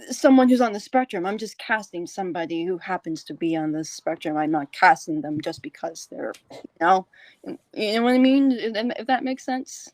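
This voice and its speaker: A woman's voice: on the high side, darkish tone, no room sound. Chatty woman podcast host, dry close sound. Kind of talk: casual conversational interview.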